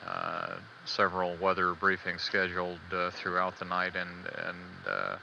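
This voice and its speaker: A man giving live spaceflight status commentary as a mission control announcer, measured, hesitant and matter-of-fact, on old band-limited broadcast audio. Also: sits low, monotone, gravelly, pushed and bright, muffled recording, a little hiss or hum.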